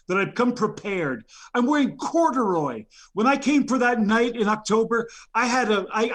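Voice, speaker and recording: Man, high voice, aggrieved rambling nominee, lots of stammers and ums. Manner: indignant, rambling, stammers with many fillers. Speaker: nominee on a comedy show. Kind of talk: improvised comedy rant.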